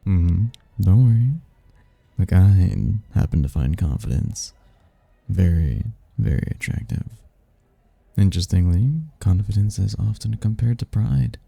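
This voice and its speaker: A suave demon charmer, acted by a man, with a very dark, mellow low voice full of heavy gravel. He talks slowly and teasingly in an intimate ASMR roleplay, flirting smoothly over soft music. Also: dry recording, heavily acted. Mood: flirtatious, charming. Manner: smooth-talking, teasing, slow and seductive. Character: suave, flirtatious male demon at a masquerade ball.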